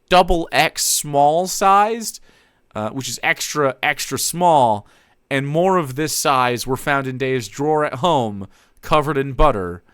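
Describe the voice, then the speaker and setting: A man's voice: medium pitch, a bit bright, smooth, no echo, clean studio sound. Theatrical streamer man reading aloud. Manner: theatrical, breaks off to laugh and comment. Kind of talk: dramatic reading of fan fiction.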